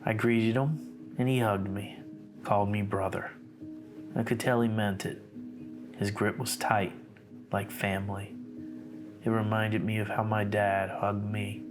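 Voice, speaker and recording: A man playing a guarded investigator who infiltrated a cult, narrating his account in a measured, somber, diary-like way. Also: on the low side, little pitch movement, close to an everyday voice. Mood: guarded, reflective. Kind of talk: scripted horror narration, diary-like. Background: music.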